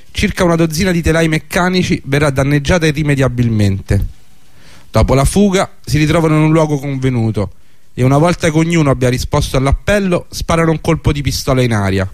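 Male narrator, slightly high voice, dry studio-close sound, scripted historical storytelling, radio drama. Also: no room sound.

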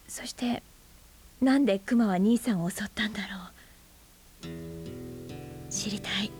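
An actress in her forties playing a brooding, bitter aunt who is a schoolteacher, speaking softly and often in a half-whisper in a radio drama. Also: on the high side. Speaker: high school teacher.

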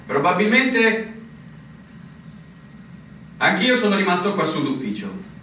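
A man's voice: high voice, very animated, slow pace, exaggerated voice. A man playing a resigned, world-weary veteran army captain in a radio drama, with a formal, consoling manner. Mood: resigned, confiding. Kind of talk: stage-style radio drama acting. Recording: very echoey, distant, faint noise.

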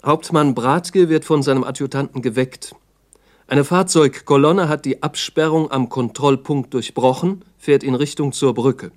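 A male narrator with a medium-pitched voice reads scripted, documentary-style radio drama. His delivery is sober and factual, like an official report from the 1960s. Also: a little slow.

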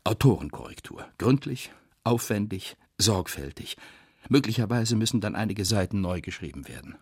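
An actor playing a weary, bitter novelist, reading in a deliberate, brooding literary radio-play manner with theatrical pauses. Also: male voice, lowish voice, lively intonation, darkish tone, husky, dry recording, studio quality. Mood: brooding, resigned.

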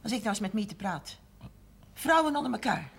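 A woman's voice: mid-range voice, sing-song, moderate pace, gravelly, a bit bright, breathy edge, heavily acted. An actress playing a proud, forthright middle-aged farmer's wife and mother in a period television drama. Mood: pointed, proud. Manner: forthright, insistent. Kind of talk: 1970s Dutch television period drama acting.

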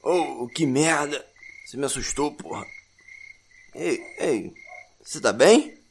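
A man's voice: mid-range voice, lively intonation, slow pace, vocal fry. An actor playing a startled, foul-mouthed man out at night, in an audio drama. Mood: startled, concerned. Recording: noisy night ambience with insects, frogs.

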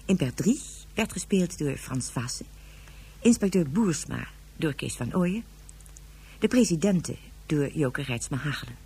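A female narrator reads radio play credits in an even, measured way. Her voice is low and very breathy and husky. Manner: measured announcing. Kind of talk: announcing radio drama credits.

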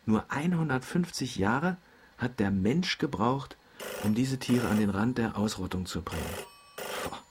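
An actor playing a grumbling, excitable middle-aged father in a German radio drama, often muttering to himself. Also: mid-range voice, unhurried, background noise, natural voice. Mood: absorbed.

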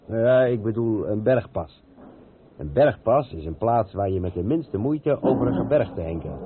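An actor playing an experienced, patient truck driver who explains things step by step in a radio play. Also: male voice, lowish voice, expressive, a little slow. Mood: explaining, chatty. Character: experienced, patient long-haul truck driver showing the ropes. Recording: tinny, band-limited old radio recording with noise.

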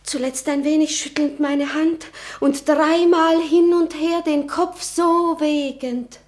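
A scared obedient young noblewoman in a radio play, high pitched, kinda slow, very earnest. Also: fairly even intonation, a little mellow. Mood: shaken, hushed wonder. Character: frightened young noblewoman, obedient innocent daughter. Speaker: radio drama actor. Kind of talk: classical radio play acting.

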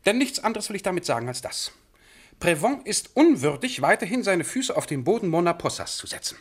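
Pompous prince with animated, highish voice. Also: male voice, fairly quick, breathy edge, heavily acted. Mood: indignant, pompous. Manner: formal, declamatory speech to his ministers. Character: pompous, peremptory male sovereign of a tiny principality. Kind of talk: satirical radio drama acting.

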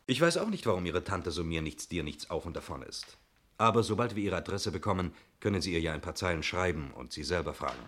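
Breezy chatty stranger, lowish voice, very animated intonation, glib politeness, 1960s German radio drama. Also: male voice, fast. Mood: smoothly explaining. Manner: glib, jovial, evasive politeness. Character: breezy, talkative male stranger claiming to own the chalet.

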